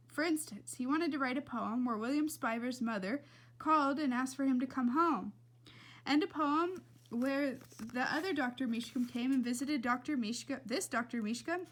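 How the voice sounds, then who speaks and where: A woman reading a children's novel aloud as an expressive storyteller. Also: high voice. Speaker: reader.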